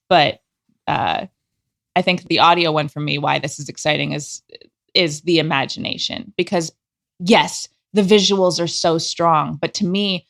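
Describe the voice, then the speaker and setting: Low-pitched woman explaining with enthusiasm. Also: vocal fry, a bit bright. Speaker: guest, audio drama creator. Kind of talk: enthusiastic interview conversation.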